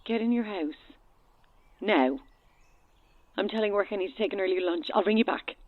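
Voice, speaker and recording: An actress in a horror audio drama playing a worried, insistent friend, speaking firmly and urgently through a telephone filter. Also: female voice, medium pitch, moderate pace. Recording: thin, band-limited phone line.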